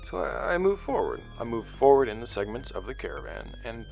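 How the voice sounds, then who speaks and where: An actor playing an earnest investigator logging findings in a sci-fi audio drama, speaking in a halting, deliberate, conversational way. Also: male voice, mid-range voice, wildly swooping pitch, creaky, a little mellow, faint music behind, poor audio. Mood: sombre, reflective. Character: earnest male investigator recording a log of findings. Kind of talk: sci-fi audio drama monologue and field interviews.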